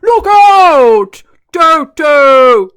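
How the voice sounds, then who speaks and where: A woman voicing a big, brave male hippopotamus shouting a warning in a children's storybook reading. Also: high voice, sing-song, drawn-out words, pitched above the performer's own voice, full character voice. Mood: alarmed shouting. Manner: bellowing warnings.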